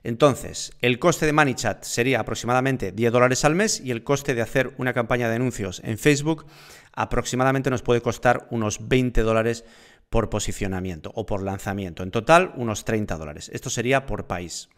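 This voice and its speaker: A man's voice: medium pitch, normal speed. Man explaining step by step. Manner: explanatory, steady, walks through steps. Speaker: online business instructor. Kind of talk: screen-share tutorial. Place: indoor room, seated at desk.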